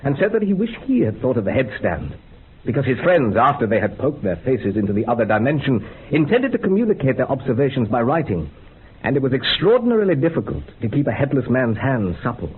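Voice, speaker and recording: Radio horror lead, male actor: unhinged occult experimenter, theatrical and feverish, highly animated pitch, muffled thin recording. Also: brisk, natural voice. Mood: eerie relish. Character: unhinged man confessing a grisly occult experiment. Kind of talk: radio horror drama monologue. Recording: muffled phone-like sound with faint hiss.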